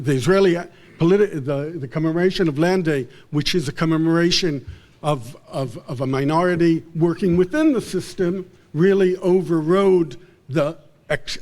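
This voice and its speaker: A male professor giving an unscripted academic lecture in a halting way, often repeating and restarting his phrases. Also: fairly high pitch.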